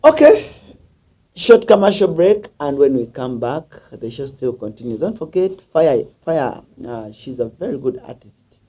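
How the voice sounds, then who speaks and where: A man with a Ugandan accent hosting an interview, animated and mixing in Luganda. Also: mid-range voice, unhurried, breathy edge. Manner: animated, jumps between English and Luganda. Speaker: talk show host.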